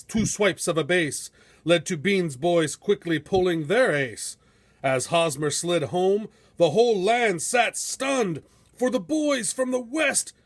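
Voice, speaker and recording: Male storybook narrator, high register, unhurried delivery, dramatic and measured, suited to heroic verse. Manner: theatrical, measured, epic storytelling. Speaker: storybook reader.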